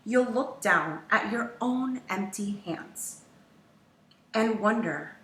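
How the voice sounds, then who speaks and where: Slow, bright woman reading in an echoey room. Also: mid-range voice, animated, slight background noise. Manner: measured, dramatic pauses, acts out quoted lines. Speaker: teacher reading a picture book aloud. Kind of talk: expressive children's storybook read-aloud. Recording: roomy echo, distant from mic.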